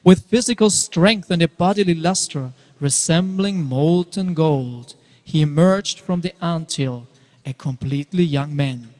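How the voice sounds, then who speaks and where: A man's voice: fairly high pitch, unhurried, darkish tone. A man narrating a scripted devotional story as part of a dramatic reading. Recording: hall with long reverb.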